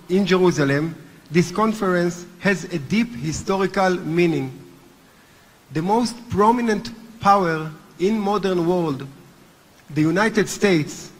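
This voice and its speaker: A man's voice: sits high, breathy edge. A man with a heavy Hebrew accent, a native Hebrew speaker, gives an earnest formal speech as chairman of a movement at a conference. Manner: earnest, deliberate, with occasional restarts. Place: large hotel conference hall. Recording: long hall reverb.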